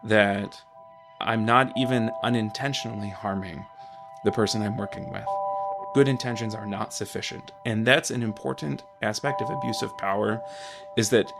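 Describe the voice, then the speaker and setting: Male counselor, low gravelly voice, measured delivery, music bed underneath. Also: steady tone. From Michigan. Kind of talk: interview commentary.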